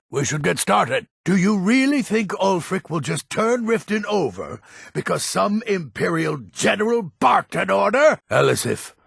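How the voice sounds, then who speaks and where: A gruff old Nordic man from a fantasy game, proud and grumpy. His voice is very husky and takes its time, moving up and down with theatrical emphasis. The sound is close and dry. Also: mid-range voice, fairly bright tone, no echo, full character voice. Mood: indignant. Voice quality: gravelly, raspy. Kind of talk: video game character dialogue.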